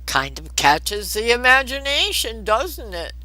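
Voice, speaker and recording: Audiobook role: pompous, musing man who rambles and flirts read by a woman, bright, emphatic, slow delivery. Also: a little high, big pitch swings, no room sound, voice pushed up, exaggerated voice. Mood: musing, halting. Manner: halting, wordy, theatrical.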